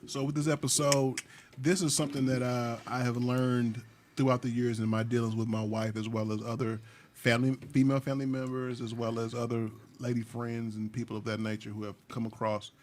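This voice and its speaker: A man's voice: medium pitch, flat delivery, brisk, background noise. A man hosting a casual podcast, joking around and often restarting his phrases. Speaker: podcast host.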